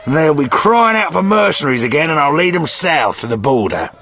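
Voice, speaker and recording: A man's voice: on the high side, sing-song, a little fast, bright, forceful tone, heavily acted. A man voicing a crude, boastful yet sentimental mercenary sergeant in a fantasy video game, with blustering theatrical delivery. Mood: gruff boasting.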